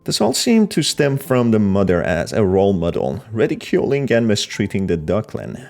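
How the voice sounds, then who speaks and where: A man's voice: on the low side, sing-song, normal speed, creaky, darkish tone. A man narrating a scripted story explainer as the host of a horror video.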